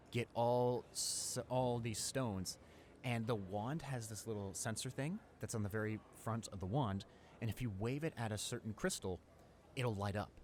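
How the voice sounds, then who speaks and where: A man's voice: on the low side, lightly acted. Man playing a chatty teenage schoolboy, noisy ambience. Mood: enthused, explaining. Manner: rambling, enthusiastic, hesitant with stammers and repeats. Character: friendly, eager, chatty male high school senior. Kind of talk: roleplay audio drama, casual one-sided conversation. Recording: clear background noise, ambience.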